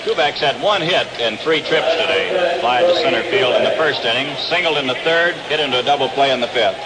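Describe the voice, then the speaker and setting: A man's voice: mid-range voice, brisk, heavy background noise. An American man calling baseball play-by-play live on an old 1960s radio broadcast. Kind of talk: live radio sports commentary. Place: open-air ballpark broadcast position.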